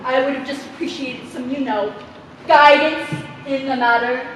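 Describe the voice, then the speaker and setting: A woman's voice: high voice, fairly even intonation, normal speed, close to an everyday voice. A woman on stage playing a troubled, pleading young woman at a meeting, hesitant and plaintive in a theatrical way. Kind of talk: stage play acting. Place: theater stage, distant microphone. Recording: echoey noisy theater, far off, audience sounds.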